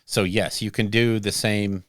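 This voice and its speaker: A man co-hosting a podcast, chatting casually about his army list, self-deprecating and quick to laugh at himself. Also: lowish voice, little pitch movement, dry recording, studio quality. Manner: self-deprecating, bursts into loud laughs, hesitant with points. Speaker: podcast co-host. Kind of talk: casual chat about tabletop wargaming.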